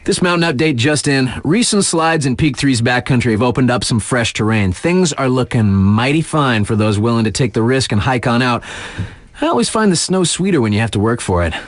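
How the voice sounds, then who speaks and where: Actor as a lively, laid-back snowboard radio DJ guy. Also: mid-range voice, brisk, no echo, close to an everyday voice. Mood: stoked, laid-back. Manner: casual, slangy, hyping up listeners. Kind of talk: radio DJ announcements in a video game.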